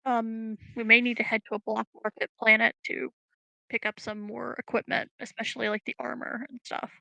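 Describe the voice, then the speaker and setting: A woman's voice: on the high side, flat delivery, moderate pace, vocal fry, bright, forceful tone, dry recording, low-quality recording. A woman playing in a tabletop game, speaking casually and a little tentatively. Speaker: player.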